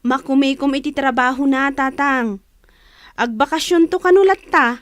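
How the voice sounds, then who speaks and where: An actress with an Ilocano accent playing a dutiful, reassuring young daughter in a radio drama. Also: female voice, sits high, moderate pace, lightly acted.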